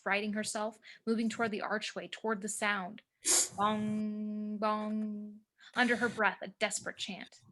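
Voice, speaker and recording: A woman's voice: medium pitch, sharp tone. A woman reading screenplay action as narrator, with dramatic, clipped phrasing, recorded over a home video call.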